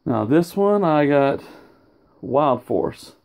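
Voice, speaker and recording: Slow, rambling man with a dark voice. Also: fairly high pitch, very animated, gravelly. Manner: rambling, loses track, self-corrects. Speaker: collector showing autographs. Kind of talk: casual home video show-and-tell. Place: indoors at home, over a sofa.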